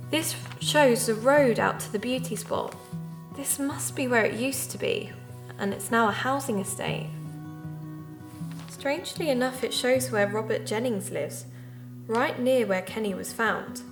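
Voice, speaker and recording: A woman voicing a curious amateur sleuth in an audio drama, musing aloud as she looks through records. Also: fairly high pitch. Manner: muses aloud, methodical. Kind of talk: audio drama narration and monologue. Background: guitar music.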